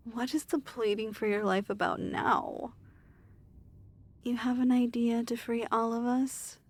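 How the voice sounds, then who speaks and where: A woman playing a weary, imperious demon lord in an ASMR fantasy roleplay, speaking formally and softly with measured diction. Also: on the high side. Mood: cold, disdainful.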